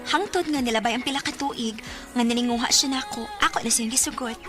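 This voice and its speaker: Woman acting a high-voiced, gentle teenage schoolgirl. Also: a little fast, over music, close to an everyday voice. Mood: warm, narrating. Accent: Cebuano. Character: teenage schoolgirl, gentle, dutiful, playfully frank. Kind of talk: radio drama acting.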